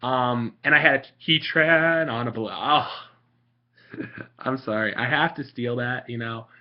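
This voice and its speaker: A young man from Italy talking casually and sarcastically about game strategy, rambling with fillers. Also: unhurried. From Italian. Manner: sarcastic, mocking, frequent fillers. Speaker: vlogger. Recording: muffled low-fi home recording.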